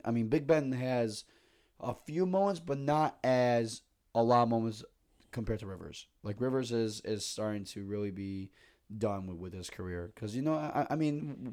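A man's voice: mid-range voice, dark tone. A man co-hosting a sports podcast in casual chat, rambling and joking with frequent restarts. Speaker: podcast co-host.

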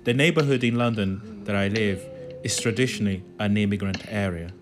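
A man from Brazil, a dance artist, narrating a calm guided walk with soft music behind. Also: lowish voice, brisk.

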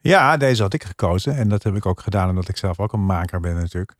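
A man's voice: sits low, animated, fast talker, background noise. A man chatting casually as a panelist on a podcast, talking as an artist. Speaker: artist, theatre maker.

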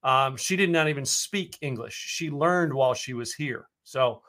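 Bright-voiced rambling American man. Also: medium pitch, moderate pace. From United States. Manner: rambling, many fillers and restarts. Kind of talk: casual conversation.

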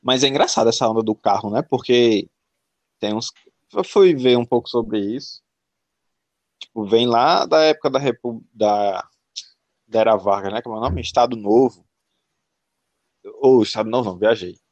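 A man's voice: mid-range voice, normal speed, long pauses, a little mellow, no echo, dull audio. A Brazilian man in casual chat, thinking aloud with many restarts and filler words.

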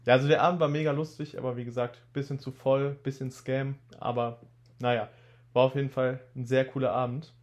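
A young man in his twenties with a slight cold, telling stories in a relaxed, casual podcast chat. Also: medium pitch, fairly quick. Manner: relaxed storytelling, laid-back slang. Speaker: podcast co-host.

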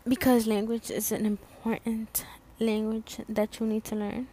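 Slow-spoken young woman, rambling. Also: on the high side, little pitch movement, warm tone, slight background noise. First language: Spanish. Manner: rambling, many fillers and restarts. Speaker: student podcast host. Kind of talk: casual solo podcast talk.

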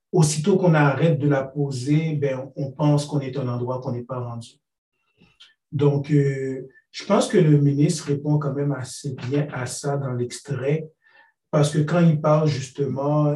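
A man's voice: medium pitch, dark tone. A man, speaking thoughtfully in a group discussion and searching for his words. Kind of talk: earnest discussion. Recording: roomy, distant from the mic.

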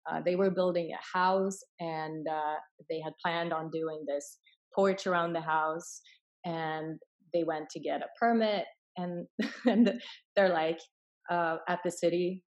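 A woman's voice: fairly low pitch, fairly even intonation, a little slow, highs cut off. A woman from Sweden, born in Finland, who speaks English as a third language, explaining things casually as an interview guest. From Finland-born, raised in Sweden. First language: not English, which is a third language. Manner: informal, acts out quoted dialogue. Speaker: interview guest, property investor.

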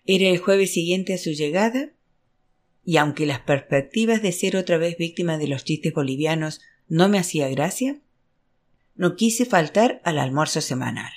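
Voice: mid-range voice, expressive, normal speed. A narrator reading a literary novel aloud as scripted audiobook narration. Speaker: audiobook reader.

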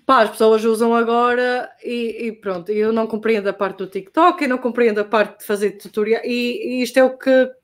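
A woman's voice: on the high side, fairly even intonation, a little fast, hardly any pauses. A young adult woman from Portugal with a Portuguese accent, chatting casually and hesitantly as a guest, with slang and English terms mixed in. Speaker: guest skater. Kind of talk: casual chat.

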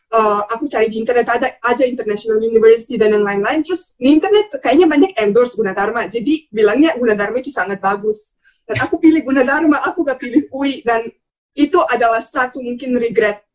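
A young woman chats as a podcast guest. Her voice sits fairly high and she talks quickly. She is expressive and talkative, dropping in English words as she tells her stories. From Bosnia. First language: Bosnian. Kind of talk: casual conversational storytelling.

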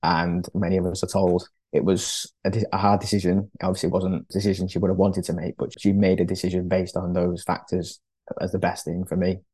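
A deep, mellow-voiced man in his thirties speaks slowly and thoughtfully, pausing often and restarting sentences. His tone stays even and low, recorded dry and close. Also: husky, dry recording. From born in Peru, raised in northern England. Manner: thoughtful, hesitant, frequent restarts and ums. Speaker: podcast guest, adoptee. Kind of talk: conversational interview.

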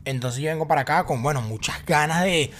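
A man's voice: fairly high pitch, a little fast, a bit bright. A young man in his twenties with a Venezuelan accent, telling his personal story to the camera in a casual, talkative way with many fillers and restarts. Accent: Venezuelan Spanish. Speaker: vlogger.

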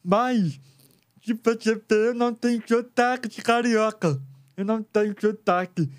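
A man's voice: high voice, measured pace, clean tone. A man from Rio de Janeiro who lip-reads, speaking with careful, uneven pronunciation learned artificially, as a guest in a casual interview.

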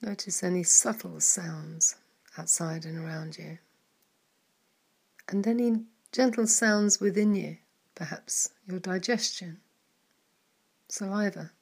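A woman leading a guided mindfulness meditation in a soft, calm manner, with some words trailing into a whisper. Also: lowish voice, vocal fry, husky.

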